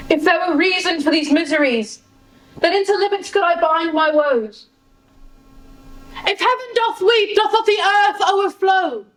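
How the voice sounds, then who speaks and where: A woman's voice: very high voice, lively intonation, unhurried, fairly bright tone, slight background noise, heavily acted. A woman performing an anguished, grief-stricken father in an impassioned, declamatory Shakespearean monologue in an echoey room. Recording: echoey room, distant microphone.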